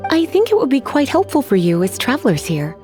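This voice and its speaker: A woman's voice: high voice, wildly swooping pitch, darkish tone, soft background music. A woman playing a languid, teasing librarian in a video game, coy and polite with menace underneath. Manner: coy, sighing, drawn-out politeness. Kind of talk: English video game voice acting.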